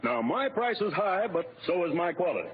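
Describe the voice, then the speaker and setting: A man's voice: sits high, very animated, normal speed, husky, full character voice. An actor playing a wheedling, crooked businessman in a 1940s radio comedy, talking glibly with false heartiness. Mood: slick, pushy. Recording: muffled, noisy old radio broadcast.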